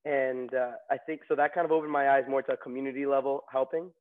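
A man's voice: mid-range voice, fairly even intonation, fast. A young man from New York, a new nurse, telling stories in a chatty interview with many fillers and restarts. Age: young adult. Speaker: nursing graduate guest. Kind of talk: casual interview storytelling. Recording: tinny phone-like line.

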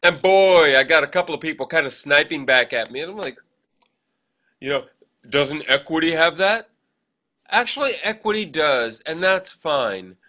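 Bright, higher-pitched American man, chatty host. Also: animated, moderate pace. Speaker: podcast host, actor and director. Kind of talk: casual chat.

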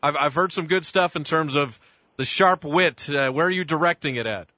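Radio host guy, bright punchy voice, rambly questions, dry studio sound. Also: fairly high pitch, normal speed, no echo, highs cut off. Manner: chatty, rambling questions with restarts. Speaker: radio sports show host. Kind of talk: sports radio interview.

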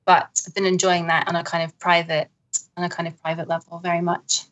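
A woman who translates books, answering modestly and hesitantly, with many pauses and nervous laughs. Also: fairly low pitch, level tone, fairly bright tone, muffled recording. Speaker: literary translator. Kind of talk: conversational interview answers.

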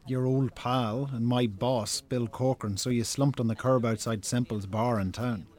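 An actor playing an exasperated, dry-tongued Irish brother chiding a drinker in a naturalistic radio drama. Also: male voice, medium pitch, steady tone, normal speed, darkish tone, lightly acted. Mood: dry, weary.

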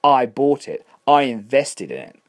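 A man's voice: lowish voice, expressive, brisk, darkish tone. A man voicing a smug, wealthy male landlord who speaks coldly and cuttingly in a storybook reading. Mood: indignant.

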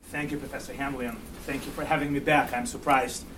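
A man in his forties with a Russian-Ukrainian accent, giving a university lecture in a halting way, full of fillers and repeats. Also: mid-range voice, little pitch movement. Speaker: guest lecturer. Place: lecture room. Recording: distant mic, room noise.

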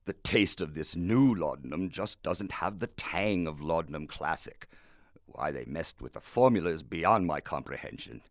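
A gloomy, self-important gothic horror storyteller in a radio play. The voice sits very deep and moves widely, with grandiloquent, droll melodrama in the phrasing. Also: male voice, lively intonation, moderate pace, dry recording, studio quality. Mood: pompous, droll. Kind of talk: old-time radio play narration.

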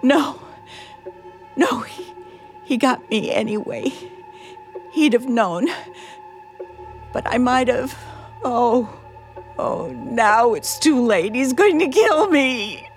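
A woman acting a frightened, unhinged woman in a radio play, halting and dramatic, repeating her phrases. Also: fairly high pitch, animated. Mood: panicked despair. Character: frightened, unhinged woman, now giddy and triumphant. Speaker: actor. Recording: music behind the voice. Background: music.

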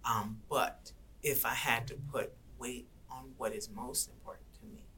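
A woman talking as a guest in an interview, earnest and reflective, sometimes dropping to soft asides. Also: very deep voice, steady tone, unhurried, slight background noise. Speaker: guest rapper and activist. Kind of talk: conversational interview.

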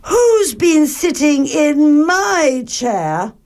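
A woman voicing a motherly bear in a storybook, tender and fretful, with plaintive, drawn-out words. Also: high voice, big pitch swings, darkish tone, voice pushed up, heavily acted. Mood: dismayed.

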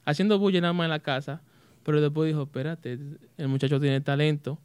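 A man's voice: fairly high pitch, steady tone, fast, darkish tone, clean tone, no echo. A man, a musician guest on a radio show, answering in hesitant Spanish with restarts and bits of mixed in. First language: English. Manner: hesitant, restarts words, mixes in English. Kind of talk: casual radio interview. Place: radio studio.

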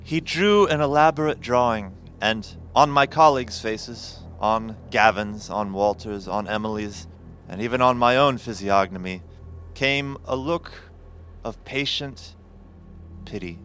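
An actor playing a grave, formal professor who narrates a horror audio drama in a measured, literary way. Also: male voice, lowish voice, fairly bright tone, slight background noise. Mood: grave, recounting.

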